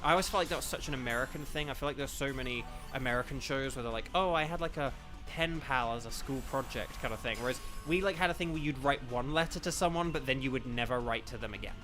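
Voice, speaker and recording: A young man streaming a game, chatting casually with his chat, rambling with lots of fillers, a bit croaky. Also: mid-range voice, quick pace, music in the background. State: slightly croaky from eating. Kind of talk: casual gaming livestream chat.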